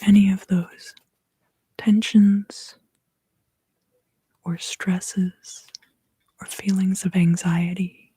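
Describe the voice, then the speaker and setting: A woman giving an ASMR tarot reading in a hushed, soothing, husky voice that often slips into a whisper, close to the microphone. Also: medium pitch, level tone. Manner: slow, soothing, hushed guided delivery. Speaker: tarot card reader and host.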